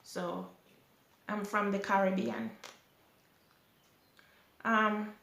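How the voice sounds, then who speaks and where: Jamaican lady on her couch answering viewer qs, slow and easygoing, bit of fry, roomy sound. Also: medium pitch. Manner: unhurried, chatty, with lively rises when emphatic. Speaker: vlogger answering viewer questions. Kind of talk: casual Q&A chat. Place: small indoor room, seated on a sofa. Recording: echoey room.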